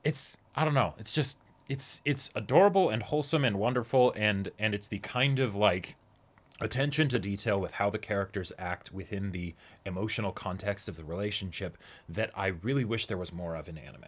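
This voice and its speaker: A man's voice: lowish voice, a little fast. A young man co-hosting a casual discussion show, earnestly feeling his way through each point. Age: young adult. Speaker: co-host of an anime reaction show. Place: small indoor room, seated before a backdrop.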